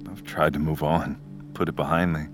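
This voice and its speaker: A man's voice: rumbling low, steady tone, normal speed, music in the background. An actor playing a nervous male captive, anxious and evasive, stammering as he tries to placate. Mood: guarded. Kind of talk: audio fiction acting.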